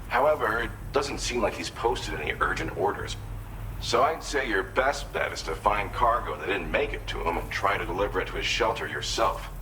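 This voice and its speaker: An actor playing an affable, grateful researcher from an isolated shelter in a video game, talking informally and explaining things. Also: male voice, lowish voice, bright, forceful tone, a little hiss or hum, low-quality recording.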